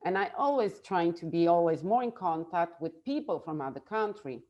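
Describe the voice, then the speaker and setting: A woman with an Italian accent, a native Italian speaker, chatting on a video call and often pausing to search for words. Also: fairly low pitch, expressive, a little mellow. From Italy. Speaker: guest. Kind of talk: casual video call chat.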